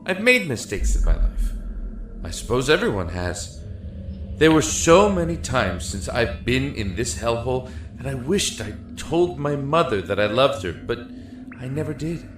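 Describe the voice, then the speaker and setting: A man playing a despairing, regretful prisoner in a dark cell, speaking haltingly and reflectively in a dramatic audio monologue. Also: mid-range voice, sing-song. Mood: wistful. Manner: halting, reflective, emotive delivery. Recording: echoey, with music underneath.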